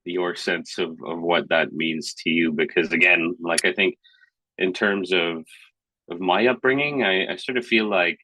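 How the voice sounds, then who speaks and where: A man's voice: deep voice, normal speed, sharp tone, no echo, poor audio. A man in his thirties from Hong Kong, co-hosting a casual podcast chat in a rambling, hesitant, thoughtful way. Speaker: podcast co-host.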